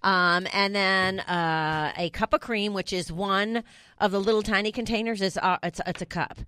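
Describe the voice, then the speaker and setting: A woman's voice: mid-range voice, steady tone, moderate pace, bright, forceful tone, clean tone, dry recording. A woman hosting a radio talk show, chatting casually and jokingly, often trailing off and restarting mid-sentence. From Italian heritage. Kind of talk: casual studio chat.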